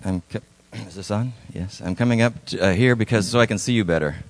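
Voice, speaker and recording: A middle-aged man from Lebanon, a missionary, speaking at a church in a halting, folksy way with many fillers. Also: on the low side. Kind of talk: church talk with slides. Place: church sanctuary.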